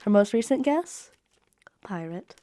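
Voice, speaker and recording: Woman acting a genteel Victorian wife and mother, mellow tone, affectionate, expressive letter reading, radio drama, close dry sound. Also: mid-range voice, unhurried, no echo, close to an everyday voice. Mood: fond. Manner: genteel, expressive, articulate period diction.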